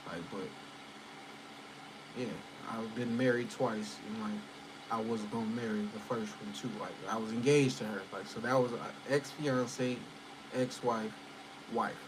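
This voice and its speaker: Give me a man in his thirties chatting casually at home, loose and profane, with a clear air-conditioning hum behind him. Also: on the low side, fairly even intonation, unhurried. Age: 30s. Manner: loose, rambling, sprinkled with profanity and fillers. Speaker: channel host chatting with viewers. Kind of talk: casual livestream chat.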